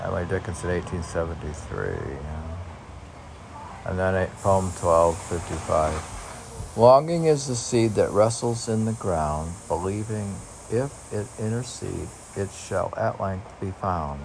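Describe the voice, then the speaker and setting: A man reading poems aloud informally as a poet, with halting, musing asides between the lines. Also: low voice, expressive, vocal fry, darkish tone, a little hiss or hum, low-quality recording.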